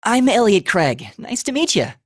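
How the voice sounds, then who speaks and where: A woman voicing a friendly, slightly timid teenage schoolboy with a soft husky voice, chatting in a video game. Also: mid-range voice, big pitch swings, moderate pace, dry recording, clean studio sound. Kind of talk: game voice acting, casual dialogue.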